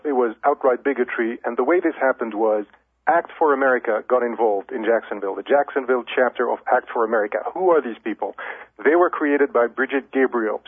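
Man, phone-in radio guest, husky tone, measured and explanatory over a tinny line. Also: mid-range voice, dry recording. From Lebanon. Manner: measured, explanatory, many restarts. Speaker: journalist guest.

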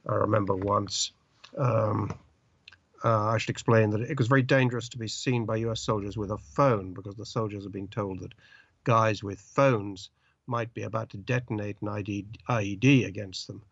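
Older British male journalist, halting delivery, lo-fi dull phone-in sound. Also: lowish voice, steady tone, vocal fry, fairly bright tone, slightly rough, no room sound. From Britain. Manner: halting, searching for words with many uh's. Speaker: journalist guest. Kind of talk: interview over the phone. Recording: dull lo-fi line, dry.

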